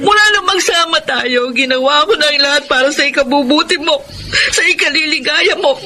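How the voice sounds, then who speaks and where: Actress as a high-pitched, heartbroken Filipina fiancée. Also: female voice, brisk, lightly acted. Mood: anguished, tearful. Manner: emotional, tearful pleading. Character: heartbroken devoted young fiancée pleading, wounded. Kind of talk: Filipino radio melodrama acting. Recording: loud music behind the voice.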